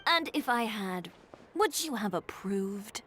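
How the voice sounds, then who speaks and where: A woman voicing a confident, sharp-tongued tailor in a game, talking with dry teasing wit. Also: mid-range voice, animated, slow, a little hiss or hum.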